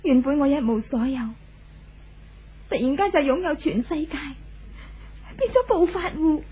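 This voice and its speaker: An actress playing a conflicted, melancholy young wife in a 1970s Hong Kong Cantonese radio drama, plaintive and confessional. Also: female voice, sits high, lively intonation, a little slow, mellow, a little hiss or hum. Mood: bitter, self-blaming. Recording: dull vintage radio recording with music.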